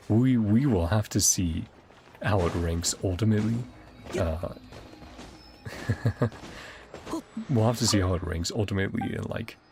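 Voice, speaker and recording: A man's voice: sits low, gravelly. A man casually chatting as a livestream game host, rambling and enthusiastic in his commentary. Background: game music.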